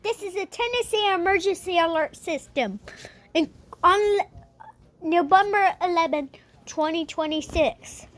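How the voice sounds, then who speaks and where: Woman, very high voice, slow and halting delivery, amateur emergency-broadcast announcer repeating her phrases. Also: fairly bright tone. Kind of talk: scripted mock emergency alert announcement.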